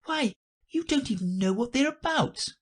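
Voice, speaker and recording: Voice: mid-range voice, animated, normal speed, pitched above the performer's own voice, lightly acted. A narrator voicing a plucky, sensible young girl with a light, matter-of-fact way of contradicting, in a storybook. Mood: defiant.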